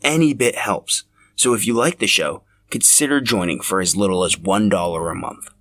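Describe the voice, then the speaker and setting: A man's voice: lowish voice, normal speed, a bit bright. A man narrating a scripted podcast as its host.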